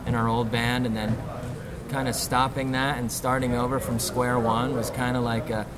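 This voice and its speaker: A man's voice: medium pitch, flat delivery, moderate pace, very noisy. A man who plays in a band, answering interview questions in a loose, rambling way full of fillers and "you know". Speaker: band musician.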